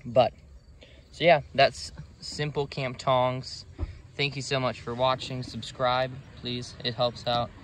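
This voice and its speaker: A man's voice: medium pitch, flat delivery, slow, fairly bright tone, slight background noise. A young man giving a casual, loosely worded how-to demonstration as a series host, recorded outdoors on a camera's built-in microphone. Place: outdoors in a wooded park area.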